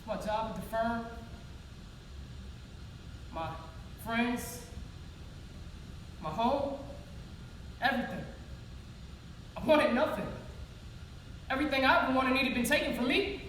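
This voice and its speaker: A woman's voice: a little high, slow pace, pauses often. A woman playing a wounded yet faithful grieving woman in an emotional, colloquial theatrical monologue. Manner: emotional, colloquial, emphatic, pleading. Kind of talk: theatrical one-act stage monologue. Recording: roomy echo, distant mic, loud noise.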